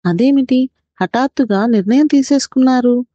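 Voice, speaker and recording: Narrator as curious, mellow village wife. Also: female voice, sits high, normal speed, no echo, muffled recording, pitched above the performer's own voice. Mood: puzzled, curious. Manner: inquiring, puzzled. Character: curious village wife questioning her husband.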